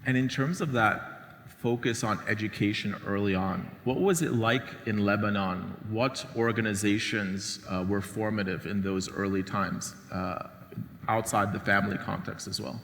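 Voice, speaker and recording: A man hosting an onstage interview, asking measured questions with a few fillers. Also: lowish voice, gravelly, a bit bright. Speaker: interview host. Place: large hall. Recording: echoey hall with long reverb.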